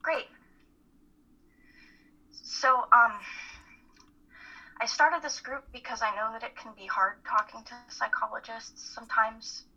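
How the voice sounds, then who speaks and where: A woman playing a bright-voiced, earnest student group leader. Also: fairly high pitch, slow, gravelly, long pauses, husky, full character voice. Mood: welcoming, slightly nervous. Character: earnest young female college student leading a support group. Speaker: amateur actor in a play reading. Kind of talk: live dramatic play reading. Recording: muffled, phone-like call audio.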